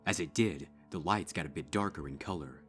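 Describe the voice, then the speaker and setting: Casting: male storyteller with a profoundly deep voice for scripted horror narration over soft music. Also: fairly quick. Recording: music behind.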